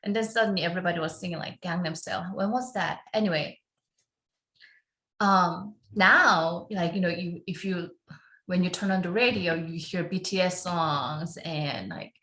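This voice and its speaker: A woman from Korea, a film composer, talking through her story in an unhurried podcast interview, with fillers and frequent laughs. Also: on the low side, sharp tone, roomy sound. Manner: unhurried, chatty, laughs often, many fillers.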